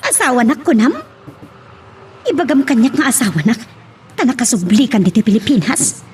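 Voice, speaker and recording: A woman's voice: a little high, sing-song, normal speed, darkish tone, slight background noise. A woman playing an anguished wife and mother confronting her unfaithful husband, with an Ilocano accent, in love drama acting. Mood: suspicious, hurt.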